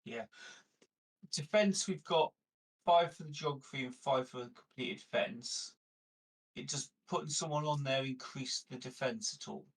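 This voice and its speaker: A man's voice: mid-range voice, a little slow, distant mic, poor audio. A man playing a tabletop roleplaying game, casually talking through supplies and tallies with the group. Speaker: player.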